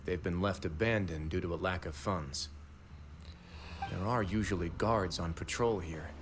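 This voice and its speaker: A male actor playing a composed, authoritative prison warden in a video game, speaking in a measured, formal manner. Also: deep voice, background noise, natural voice. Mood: calm, assured. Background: game music.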